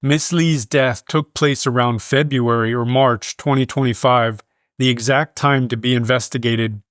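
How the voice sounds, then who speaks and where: A man reading scripted news in a measured, formal manner. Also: medium pitch, steady tone, fairly bright tone, dry recording, studio quality. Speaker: news reader.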